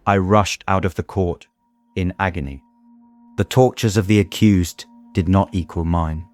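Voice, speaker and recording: An actor playing a guilt-stricken gentleman who narrates his torment in a grave, formal, literary manner for an audiobook. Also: sits low, natural voice.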